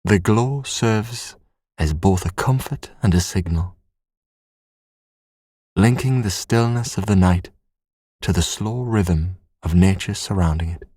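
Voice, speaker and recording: A man narrating a scripted sleep story in a soothing, measured, hushed bedtime manner. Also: low voice, dry recording.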